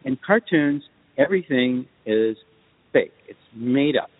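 A man answering interview questions as a guest, speaking in a measured, thoughtful way in short deliberate phrases. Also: mid-range voice, animated, breathy edge. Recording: muffled phone-like line with reverb tail.

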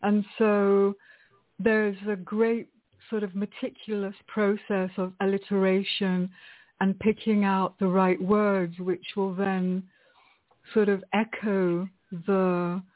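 A woman from Greece speaks unhurriedly as a guest poet, her voice sitting in a middle register. She ponders her words, hesitates, and laughs softly as she goes. The sound is thin and phone-like. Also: medium pitch, level tone, slow. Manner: thoughtful, hesitant, laughs often between phrases. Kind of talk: conversational interview. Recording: tinny phone line.

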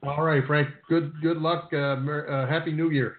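A man's voice: a little high, little pitch movement, moderate pace. A man hosting a sports radio program, chatting casually with his guest. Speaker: radio host. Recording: echoey, phone-like narrow band.

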